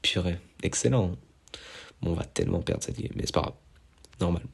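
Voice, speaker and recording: A young man with a cold, nasal and stuffy, giving excitable, slangy commentary over his own gameplay and poking fun at his mistakes. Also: low-pitched, normal speed, gravelly, breathy edge. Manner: excitable, self-mocking, slangy. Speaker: gaming YouTuber.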